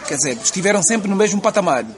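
Angolan interviewer guy, quick and a bit high, crowd noise behind. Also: animated. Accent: Angolan Portuguese. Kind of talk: street interview questions.

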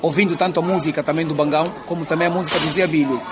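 A man with an Angolan Portuguese accent, an interviewer putting quick, prompting questions on the street. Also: on the high side, fairly even intonation. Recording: crowd noise behind.

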